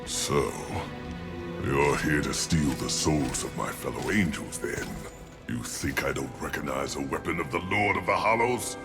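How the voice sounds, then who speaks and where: A man's voice: a bass voice, a little slow. A man voicing a weary, grandiose angel commander in a video game, speaking in a solemn, formal, theatrical way. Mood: suspicious. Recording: music behind.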